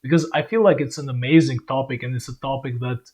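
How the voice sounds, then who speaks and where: A man's voice: mid-range voice, fairly even intonation, quick pace, a little mellow. Rambling young podcast host, a man. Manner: friendly, rambling, self-correcting. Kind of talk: casual podcast hosting.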